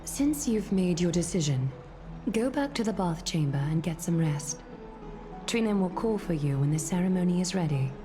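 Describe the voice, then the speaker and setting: An actress plays a solemn woman who leads heroes in a fantasy game. Her voice sits a little low and her delivery is composed, formal and regal. Music is clearly heard underneath. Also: lively intonation, moderate pace, lightly acted. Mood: gracious. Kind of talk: scripted fantasy game dialogue.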